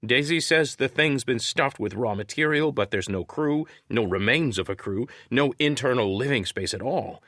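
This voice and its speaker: A man's voice: medium pitch, expressive, moderate pace, hardly any pauses, fairly bright tone, no room sound, studio quality, pitched above the performer's own voice. A man voicing a wry, knowledgeable crewmate who explains things in an audiobook story. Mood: explaining.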